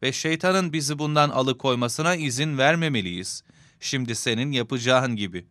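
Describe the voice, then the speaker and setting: A man's voice: mid-range voice, normal speed, fairly bright tone, no echo, studio quality, close to an everyday voice. A man playing a kind, devout middle-aged father in a children's cartoon, speaking in an instructive, fatherly way. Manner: instructive, fatherly, clearly enunciated.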